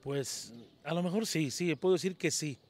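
A man's voice: fairly high pitch, normal speed, darkish tone. Humble Mexican man in his fifties. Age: 50s. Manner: humble, hesitant, repeats words. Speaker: interviewee, local singer. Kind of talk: casual street interview. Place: town plaza outdoors at dawn. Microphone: handheld mic held by interviewer.

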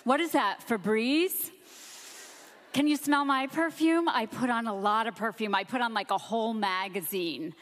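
A woman in her forties doing a stand-up set on a theater stage into a handheld mic, delivering deadpan self-deprecating one-liners. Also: on the high side, moderate pace. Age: 40s. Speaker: stand-up comedian. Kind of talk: stand-up set and backstage interview.

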